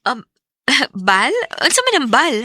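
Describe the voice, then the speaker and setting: An actress with a Visayan Filipino accent playing an emotional, trusting young wife working abroad in a radio drama. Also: female voice, high-pitched, sing-song, slow pace, pushed and bright, exaggerated voice. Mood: cheerful, affectionate. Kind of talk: Visayan radio drama acting with first-person narration.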